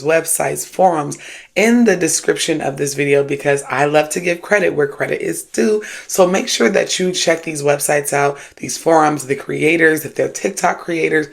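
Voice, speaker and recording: A host with a creaky voice and a sassy, slangy, emphatic way of talking, giving casual spiritualist commentary and storytelling. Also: mid-range voice. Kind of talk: casual commentary with read-aloud paranormal stories.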